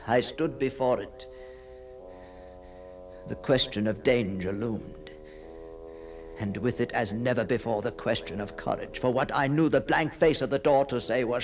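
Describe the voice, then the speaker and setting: An actor playing a haunted, obsessive gentleman telling his own ghost story as a theatrical, halting radio monologue that often sinks toward breathy whispers. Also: mid-range voice, close to an everyday voice, low-quality recording.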